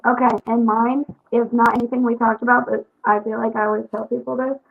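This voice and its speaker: A woman's voice: fairly high pitch, level tone, fast talker, creaky. A woman guesting on a podcast, speaking frankly and emphatically in casual chat. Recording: dull lo-fi sound with faint noise.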